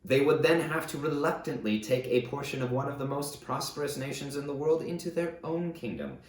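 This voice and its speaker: Male reader as a defiant conqueror-king, low voice, declamatory, self-justifying storybook memoir, echoey room. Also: lightly acted. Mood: defiant, grim. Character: proud, defiant conqueror ruler recounting his rise. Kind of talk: dramatic first-person audiobook narration. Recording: roomy, echoey sound.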